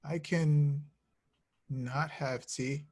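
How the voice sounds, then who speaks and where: A man reading the part of an awkward, eager, insecure young man in love, halting and sheepish, in a screenplay table read. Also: on the high side, steady tone, a little mellow. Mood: nervous.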